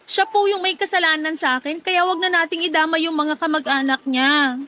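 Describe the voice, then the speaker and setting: Upset young filipina daughter in a radio drama, pleading, super high bright voice. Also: female voice, steady tone, a little fast. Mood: anxious, pleading. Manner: pleading, protesting. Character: distraught young unwed pregnant student, stubborn daughter.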